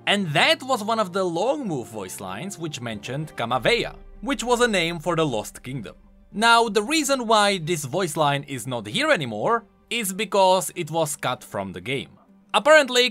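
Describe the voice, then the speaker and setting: A man narrates an explainer video in a bright, fairly high voice. His intonation is very animated, with emphatic points made like an enthusiastic gaming commentator. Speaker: video game lore YouTuber. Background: music.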